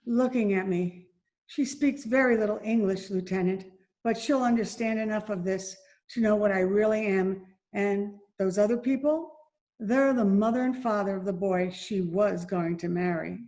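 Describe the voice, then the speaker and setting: A woman playing a resigned but proud down-and-out mother in an old-time radio drama, speaking earnestly in short, halting phrases. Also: medium pitch, steady tone, a little mellow, lightly acted. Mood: resigned, confessing.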